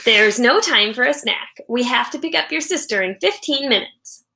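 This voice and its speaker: Female reader as no-nonsense storybook mom, brisk orders, higher-pitched voice. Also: expressive, vocal fry, pushed and bright, full character voice. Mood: brisk, bossy. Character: brisk, no-nonsense mother of a boy.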